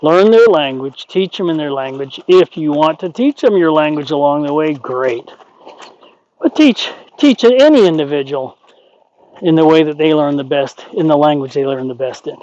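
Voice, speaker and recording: A man talking through horse training in a folksy, rambling way, his voice sitting a bit high. The sound is dull and lo-fi, taken outdoors by the horse pen. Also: lively intonation, moderate pace, darkish tone. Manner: folksy, rambling, plain-spoken. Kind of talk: explaining horse training while working.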